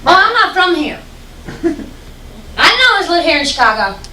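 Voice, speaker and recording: A young woman from Texas telling a folksy, deadpan stand-up story with dark punchlines on a stage. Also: very high voice, moderate pace. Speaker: stand-up comedian. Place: stage in an echoey hall. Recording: echoey hall, distant, faint hiss.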